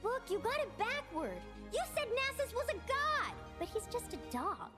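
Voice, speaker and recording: A woman voicing a small, excitable magical cat in a video game, childlike, eager and mock-fierce. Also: very high voice, normal speed. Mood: cheeky excited. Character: small cute magical talking cat, playful, excitable, feisty. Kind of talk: video game character acting. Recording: game clip with music behind.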